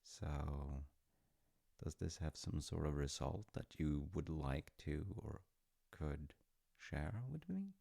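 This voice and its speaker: A man playing a warm, gently teasing dad in an intimate audio roleplay, speaking thoughtfully and reassuringly with a gravelly voice. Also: very deep voice, lively intonation, slow, exaggerated voice. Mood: gently encouraging. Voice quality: gravelly, creaky. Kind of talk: intimate audio roleplay, slice-of-life acting.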